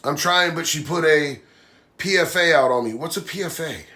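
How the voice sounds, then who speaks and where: A man's voice: fairly high pitch, moderate pace, bright, forceful tone. A man hosting a live stream, nasal from a sinus infection, talking bluntly and heatedly while reading viewer comments. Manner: blunt, heated, says bro a lot. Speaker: livestream host.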